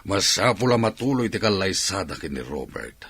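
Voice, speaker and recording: An actor playing a stern, domineering Ilocano father in a radio drama, husky and exasperated, with forceful outbursts. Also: deep voice, very animated, normal speed, no room sound, exaggerated voice. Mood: angry, exasperated. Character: angry domineering father, businessman, scolding about his daughter.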